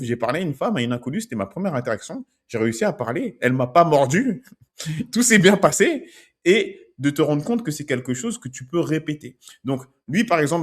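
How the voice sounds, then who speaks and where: A man with an ordinary mid-range voice breaks down street-approach footage like a dating coach. He keeps a conversational tone, often checking in with his viewers as he explains. His voice sits in a middle pitch, neither high nor low. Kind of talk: commenting on street-approach video clips.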